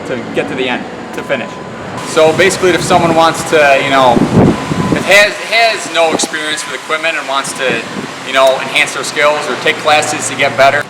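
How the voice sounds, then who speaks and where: Recorded from a distance in a reverberant space with clear background noise, a man speaks in a slightly high voice. He is plain-spoken and halting, answering informally as someone who runs farm crews and equipment. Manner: plain-spoken, halting, frequent "you know". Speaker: farm employer and heavy equipment operator. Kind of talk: informal interview answers about work. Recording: distant, echoing, loud vehicle and ventilation hum.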